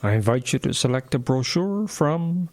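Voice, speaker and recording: A man voicing an old motel owner, eager and inviting, with a creaky voice, in an audio drama. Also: mid-range voice, animated, normal speed, faint music behind, pitched above the performer's own voice, lightly acted. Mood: eager, welcoming.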